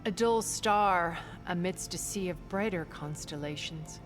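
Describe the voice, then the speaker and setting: Actress as refined rich immortal woman, very low voice, measured, eloquent, quietly scornful, video game dialogue, music behind. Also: lightly acted. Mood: cool disdain. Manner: measured, elegant, theatrical phrasing.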